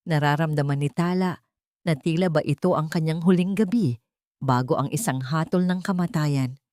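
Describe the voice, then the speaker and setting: Woman doing dramatic audiobook narration, kinda low voice, solemn, dry close sound. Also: normal speed, dark tone, dry recording. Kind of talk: dramatic scripted story narration.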